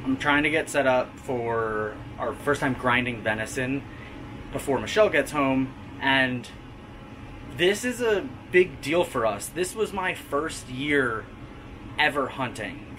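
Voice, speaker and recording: A man's voice: medium pitch, lively intonation, slow pace, background noise. A man in his thirties, a homestead vlogger, chatting easily to a camera held at arm's length, first outdoors on rural land and then inside a camper. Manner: chatty, easygoing, talks straight to camera. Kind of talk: casual vlog narration.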